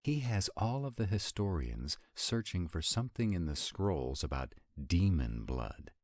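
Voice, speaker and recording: A man's voice: very animated, measured pace, darkish tone, dry recording, clean studio sound. A man playing a sarcastic, grumbling yet affectionate vampire in a romantic roleplay audio, with exasperated, intimate delivery. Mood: exasperated ranting. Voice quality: very deep voice. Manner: sardonic, exasperated, intimate, swooping emphasis.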